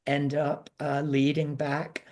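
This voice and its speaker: A man giving an informal explanation as a guest analyst, speaking haltingly and often repeating words as he searches for phrasing. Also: on the high side, completely flat delivery, a little mellow. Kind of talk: informal explanatory panel talk.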